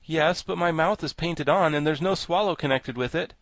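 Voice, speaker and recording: A man's voice: fairly high pitch, moderate pace, fairly bright tone, no room sound, voice pushed up. A man voicing a good-natured, wry and talkative living scarecrow in a storybook, speaking with genial emphasis. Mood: frank, genial. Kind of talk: storybook character voice.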